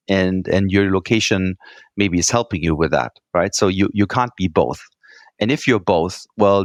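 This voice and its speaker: A man's voice: low-pitched, no echo, clean studio sound. A man from Germany with a German accent, answering interview questions earnestly and often checking in with "right?". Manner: earnest, repeats words, frequent "right?" tags. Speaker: guest executive.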